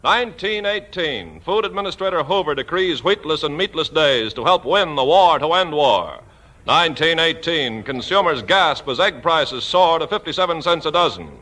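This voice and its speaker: A portentous announcer from 1940s radio, with a highish, forceful voice. He declaims formally in vintage broadcast style, like a newsreel narrator. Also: animated, moderate pace, rough recording.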